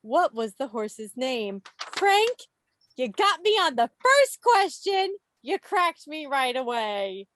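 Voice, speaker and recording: Woman, high voice, unhurried pace, playful quiz host, teasing asides, video call. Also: sing-song, a bit bright, dry recording. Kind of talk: playful live quiz hosting. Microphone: video call microphone.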